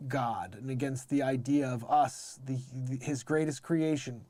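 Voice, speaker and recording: A man's voice: mid-range voice, a little slow, dark tone, no room sound. A man hosting a live read-along, reading scripture aloud in an emphatic, declamatory way and adding casual informal commentary. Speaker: live show host.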